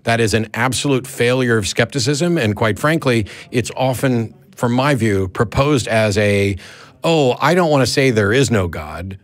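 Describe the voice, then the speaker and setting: A man's voice: medium pitch, lively intonation, moderate pace, dry recording. A man hosting a live call-in show, debating in a blunt, argumentative way and readily cutting in.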